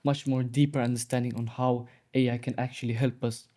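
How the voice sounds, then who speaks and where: A man's voice: mid-range voice, little pitch movement, a little fast, mellow. A teenage boy student explaining his views thoughtfully in an interview. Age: teens. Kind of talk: interview answer.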